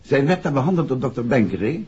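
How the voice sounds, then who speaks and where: An actor playing an assured detective husband explaining a case in a 1960s Dutch radio drama. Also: male voice, medium pitch, very animated, fast, a little mellow, husky. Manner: expository, assured, conversational. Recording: muffled, dull 1960s radio recording.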